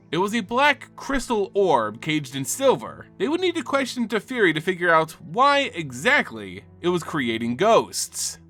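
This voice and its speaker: A bright-voiced man narrates fantasy lore from a script as the host of the show. His pitch sits slightly high and moves around with lots of animation. The reading is dramatic storytelling, with music underneath. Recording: music bed behind the voice. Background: background music.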